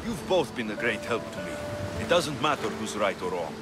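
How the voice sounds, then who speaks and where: An actor playing a weary, earnest Greek mercenary demigod in a video game cutscene, firm and measured. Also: male voice, on the low side, sing-song, over loud music. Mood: easy, warm.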